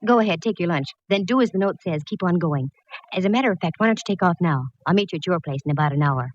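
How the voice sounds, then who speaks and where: A woman's voice: lowish voice, animated, fast talker. An American woman playing a wisecracking, confident private eye in a vintage radio detective drama, narrating and giving orders with wry ease. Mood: wry, brisk. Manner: breezy, wry, commanding. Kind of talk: 1940s-50s radio detective acting and narration. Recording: muffled, noisy old radio recording.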